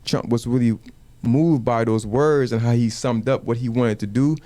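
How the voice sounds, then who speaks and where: A man whose first language is Wolof talks through his point in a measured way. He keeps asking rhetorical questions and checking in with the others. The sound is dry and close, with little room echo. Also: medium pitch, fairly even intonation, dark tone. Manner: measured, asks rhetorical questions, says "you know what I'm saying". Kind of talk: casual panel discussion. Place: community media studio.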